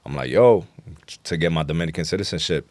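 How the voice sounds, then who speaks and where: A man with a very low voice and a New York accent, recorded close and dry. He talks as an interview guest in casual, blunt street slang. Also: quick pace, a little mellow, no room sound. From New York City. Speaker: interview guest, rap artist.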